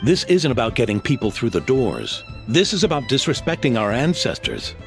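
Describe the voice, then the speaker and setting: A man's voice: medium pitch, huge pitch swings, vocal fry, soft background music. An actor playing a proud, traditional innkeeper father in a video game, with a dramatic storyteller's manner. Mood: indignant. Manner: dramatic, measured storyteller, indignant. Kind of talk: animated video game voice acting.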